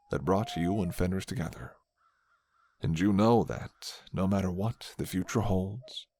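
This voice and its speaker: Man, gravelly low voice, scripted romantic ASMR narration, measured, sentimental. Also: darkish tone, slight background noise.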